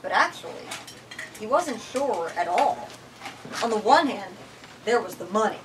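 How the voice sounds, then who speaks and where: A woman giving a theatrical, expressive reading aloud in a bookstore, adding her own commentary. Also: medium pitch, a little slow, distant mic, noisy background. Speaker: reader leading a bookstore literary talk.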